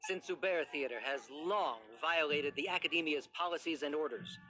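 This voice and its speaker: Game antagonist: officious, condescending bureaucrat, forceful edge, formal and stern, faint music behind. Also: male voice, fairly high pitch, normal speed. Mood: cold, condescending. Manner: pompous, formal. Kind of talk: scripted game dialogue.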